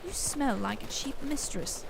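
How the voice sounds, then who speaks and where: A woman's voice: high-pitched, expressive, a little slow, vocal fry, pitched above the performer's own voice, full character voice. A haughty young princess from an audio story, put on by a woman narrator. She is spoiled and disdainful in every phrase. There is noticeable noise behind her. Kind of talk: audiobook character acting. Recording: noisy background.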